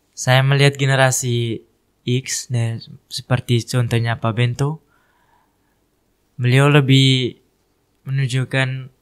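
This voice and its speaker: Teen boy student in a studio chat, halting answers, smooth level voice. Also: medium pitch. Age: teens. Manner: hesitant, speaks in short pieces. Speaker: high school student guest. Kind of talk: casual interview answers.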